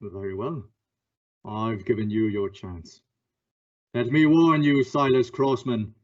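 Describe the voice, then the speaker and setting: A man acting a stern, menacing invisible crime fighter in an old-time radio thriller, speaking deliberately and accusingly. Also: mid-range voice, fairly even intonation, a little mellow, natural voice. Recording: dull lo-fi audio.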